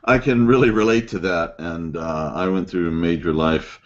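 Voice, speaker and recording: A male host with a fairly low voice keeps the conversation loose and jokey. He laughs along and riffs on what his guests say. The stream audio sounds slightly muffled. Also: moderate pace, a loud crowd. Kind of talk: casual interview hosting.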